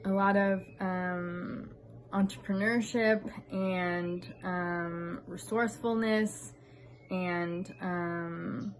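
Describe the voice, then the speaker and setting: An American woman in her thirties, talking casually to camera on an outdoor veranda, with many ums and an earnest, relaxed manner. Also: fairly low pitch, extremely slow, slight background noise. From United States. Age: 30s. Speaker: vlogger.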